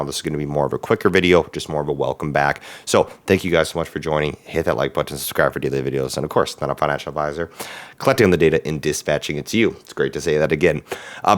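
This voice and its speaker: A man hosting a stock market channel, talking casually about the market in a raspy, hoarse voice that is still recovering from illness, with frequent "uh" and "um" fillers. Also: very deep voice.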